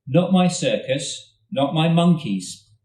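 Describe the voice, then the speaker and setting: A man voicing a calm, stubborn boy with broken English who speaks slowly and deliberately, recorded in a roomy hall from a distance. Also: a little high, pitched above the performer's own voice. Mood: unbothered.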